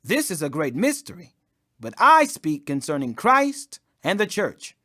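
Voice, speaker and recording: A man reading the Bible aloud as a scripture reader, in emphatic, declamatory, measured phrases. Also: high-pitched, big pitch swings, bright, forceful tone. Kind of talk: audio Bible reading, King James English.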